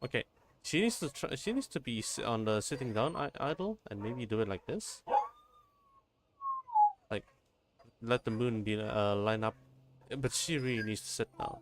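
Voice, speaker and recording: A man's voice: medium pitch, very animated, normal speed, long pauses, background noise. A man livestreaming a game, chatting casually and informally, often thinking aloud and repeating his phrases. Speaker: game streamer. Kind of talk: casual livestream gameplay chat.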